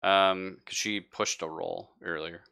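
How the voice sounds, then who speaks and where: A man narrating a live tabletop roleplaying game as game master, in a halting, conversational way. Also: low voice, fairly even intonation, creaky, sharp tone, smooth.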